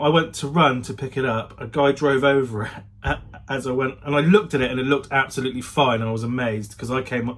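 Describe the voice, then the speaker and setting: A man's voice: medium pitch, slightly rough. A man vlogging in a casual chatty style, often hedging and correcting himself as he goes. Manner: self-correcting, hedging his claims. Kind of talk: casual talk to camera.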